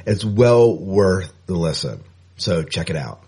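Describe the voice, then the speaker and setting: A man co-hosting a podcast in casual chat, easygoing and self-deprecating, often trailing off quieter. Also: low-pitched, expressive, takes it slowly, husky. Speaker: podcast co-host.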